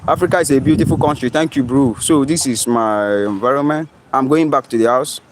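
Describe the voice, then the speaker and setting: A man's voice: medium pitch, a little fast, a little hiss or hum. A young Nigerian man with a Nigerian English accent, livestreaming casually from his phone outdoors near his home, reading viewer comments aloud and answering them. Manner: reads viewer comments aloud and answers them, often asks "you understand?". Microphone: phone camera, flipped to show surroundings.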